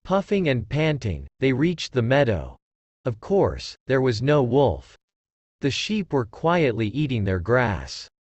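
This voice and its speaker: A man's voice: mid-range voice, very animated, slow pace, darkish tone, dry recording, highs cut off. A man narrating a scripted story for children.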